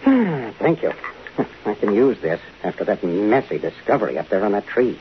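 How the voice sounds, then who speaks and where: A smooth-talking, self-important film director in a 1950s radio play. He is flirtatious and affected, with a very lively, swooping pitch. The recording is muffled and hissy. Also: normal speed. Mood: charmed, gushing. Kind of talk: 1950s radio drama acting.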